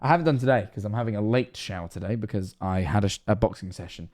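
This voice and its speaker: A man's voice: lowish voice, fast, darkish tone. A Scottish man of 21 streaming casually, rambling and self-mocking, swearing often.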